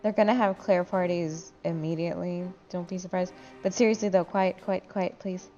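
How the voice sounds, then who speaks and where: A sleepy woman gamer with a lowish voice rambles casually to her friends. Her speech is informal and sweary, on a slightly dull recording with faint music behind it. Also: fairly even intonation, moderate pace, mellow. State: very tired. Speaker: gaming video host and player. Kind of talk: casual gaming chat with friends.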